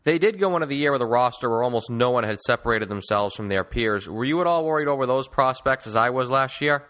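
A man's voice: medium pitch, lively intonation, quick pace, a bit bright. A man hosting a sports podcast, with an emphatic, salesy radio-style delivery. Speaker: podcast host.

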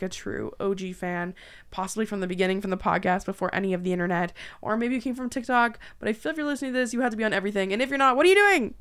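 A young woman talks as a podcast host in a casual, effusive style. Her voice sits at an average pitch and has a clear creaky fry in it. She is informal and chatty.

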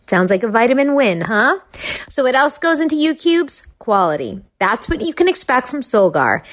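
A woman's voice: fairly high pitch, fairly bright tone. A woman co-hosting a parenting podcast, reading an ad and introducing guests with upbeat, gushing chat. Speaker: podcast co-host. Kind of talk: casual chat and read ad.

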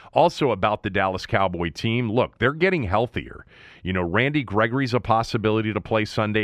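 A man's voice: deep voice, a bit bright, no echo, clean studio sound. A man hosting a sports talk show, speaking in an emphatic way and stretching out key words. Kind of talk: sports commentary with ad read.

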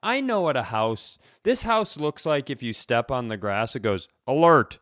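An American man chats casually as a comedy podcast co-host. His voice sits at a middle pitch with a dry, roomless sound, and he rambles into digressions as he talks. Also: very animated, moderate pace, fairly bright tone, dry recording. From Minnesota, United States.

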